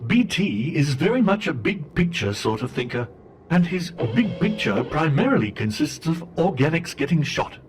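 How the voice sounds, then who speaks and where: A man's voice: a little high, big pitch swings, moderate pace, noisy background. A man voicing a prim, sadistic protocol droid in a sci-fi comic dub, formal, fussy and cheerfully sinister. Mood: prim, deferential.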